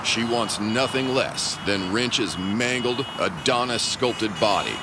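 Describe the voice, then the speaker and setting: An actor playing a grandiose serial announcer, narrating melodramatically with theatrical pauses. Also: male voice, on the low side, very noisy. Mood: melodramatic. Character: bombastic male serial adventure announcer. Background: music.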